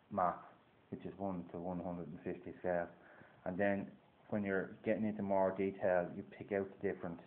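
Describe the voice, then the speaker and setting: A man with a Dublin Irish accent, talking casually to camera in a halting way, with lots of fillers and restarts. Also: sits low, slight background noise. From Dublin, Ireland. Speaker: gold prospecting vlogger. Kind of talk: casual instructional talk to camera. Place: indoors at a table. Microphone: phone camera. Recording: tinny lo-fi phone recording.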